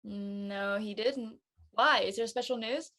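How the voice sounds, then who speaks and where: A woman playing a chatty, curious high school girl in a casual script reading. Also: takes it slowly, a bit bright. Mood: casual, inquisitive.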